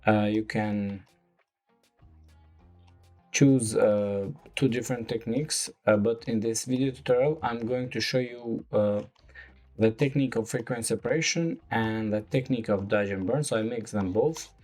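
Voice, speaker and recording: A man with a lowish voice, speaking English as a second language, walking through a retouching tutorial. He talks in a slow, halting way, full of fillers. Faint music plays softly underneath. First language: not English. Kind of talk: screen-recorded software tutorial.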